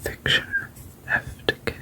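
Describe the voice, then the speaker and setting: A person reading fiction aloud entirely in a soft, unvoiced whisper, at a steady, low-key pace. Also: measured pace.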